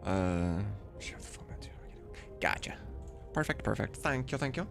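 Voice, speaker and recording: A man chats casually on a livestream while working. His voice is fairly low with a gravelly fry, and it moves around freely as he mutters to himself and to viewers. Music plays behind him. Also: animated, normal speed. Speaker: streamer and game modder. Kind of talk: casual livestream work chat. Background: music, computer keyboard typing.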